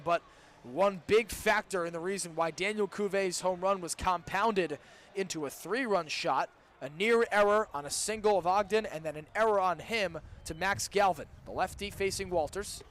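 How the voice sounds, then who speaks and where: A man's voice: high voice, fairly bright tone. A young man doing live radio play-by-play of a baseball game from a booth with an open window. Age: young adult. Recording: live outdoor broadcast with background noise.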